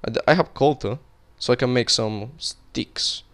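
A man's voice: medium pitch, measured pace, a bit bright. Chatty Colombian-accented young man gaming. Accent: Colombian Spanish. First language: Spanish. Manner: rambling and chatty, talking while playing, frequent restarts. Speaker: gaming video creator. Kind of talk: casual gameplay commentary.

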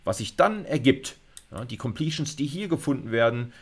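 A man's voice: mid-range voice, animated, normal speed. A middle-aged man giving an informal university lecture, explaining step by step with check-in words and English technical terms. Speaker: university computer science lecturer. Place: indoors, recorded video lecture.

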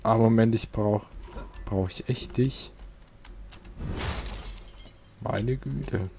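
A young man gives easygoing, chatty commentary while he plays a game. His voice is mellow and dark in tone. Faint noise sits behind him. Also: lowish voice, slow. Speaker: let's player. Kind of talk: casual gameplay commentary.

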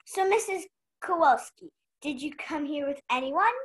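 A young woman playing a brisk, bossy investigator in a mystery radio play, formal and officious. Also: high-pitched, lively intonation, a bit bright. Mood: stern. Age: young adult. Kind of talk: stage-style mystery radio play acting.

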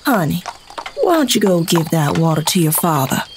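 A woman's voice: lowish voice, very animated, moderate pace, noisy background. A woman playing a worried farm wife and mother in an audio drama. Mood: puzzled.

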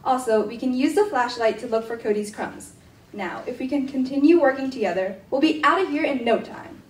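A firm, reasonable young girl played by a teenage student in a school radio drama. Her high voice is earnest, coming from a little way off the mic in a reverberant hall. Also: a little fast, slight background noise, close to an everyday voice. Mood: helpful. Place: indoor theatre stage. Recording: echoey stage hall, distant microphone.

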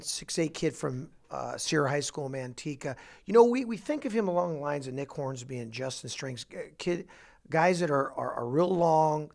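Gravelly middle-aged coach in an office. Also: male voice, medium pitch. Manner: halting, many fillers and restarts, upbeat emphasis on each name. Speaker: head basketball coach. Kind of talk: interview, breaking down his roster. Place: office, indoors. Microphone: handheld mic.

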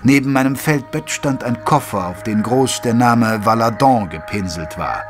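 An earnest gentleman companion recounts an adventure in the first person, as acted by a man in an audio drama. His voice is of middle pitch, with measured, literary phrasing. Music plays underneath. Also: lightly acted. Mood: puzzled, measured.

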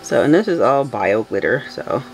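A woman's voice: a bass voice, expressive, moderate pace, slight background noise, rough recording. A woman chatting casually to herself as she works through a soap-making tutorial at a home table. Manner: chatty, talks to herself, self-correcting. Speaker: soap maker. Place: home craft table, indoors.

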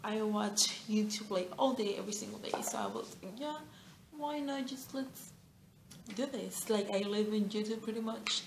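A woman's voice: mid-range voice, moderate pace, reverberant, slight background noise. Giggly young Mexican-accented woman rambling. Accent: Mexican Spanish. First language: Spanish. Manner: rambling, giggly, lots of fillers and restarts. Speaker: beauty vlogger. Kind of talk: casual chat to camera. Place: small bright room indoors.

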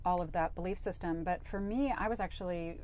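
Interview guest: woman from Hawaii, forties, lively storyteller, slightly low voice, lapel mic. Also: fairly quick, a little hiss or hum. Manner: chatty, animated storyteller acting out quotes. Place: flower-decorated studio set.

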